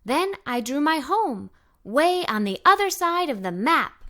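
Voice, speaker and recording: A woman reading a children's story aloud in an expressive, enthusiastic way, as an adventurous young girl telling her own tale. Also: high-pitched, a little slow. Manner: animated, enthusiastic. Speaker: storybook narrator.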